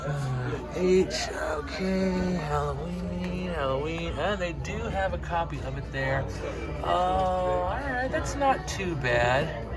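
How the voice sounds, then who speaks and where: An American man with a fairly high voice and very animated intonation talks like a casual vlog host. His manner is jokey, informal and incredulous. Clear music plays behind him. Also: slow. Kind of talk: casual chatty movie commentary.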